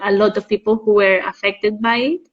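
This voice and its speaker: A woman's voice: mid-range voice, fairly even intonation, brisk, crowd noise. A young woman from Spain, chatting casually as an interview guest. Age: young adult. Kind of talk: casual chat. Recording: dull lo-fi internet call audio.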